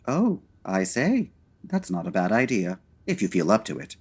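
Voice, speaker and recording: An actor playing a suave, unflappable gentleman detective in an old-time radio style comedy, with dry, urbane, formal wording. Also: medium pitch, big pitch swings, normal speed, breathy edge. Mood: genial.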